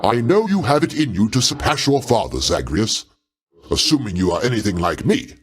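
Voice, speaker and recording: An actor playing a proud, paternal older god in a video game, speaking in a pompous, avuncular way with formal, theatrical diction. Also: male voice, mid-range voice, big pitch swings, normal speed, slightly rough. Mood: encouraging, proud. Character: grandiose, paternal older male Greek god, proud, easily offended.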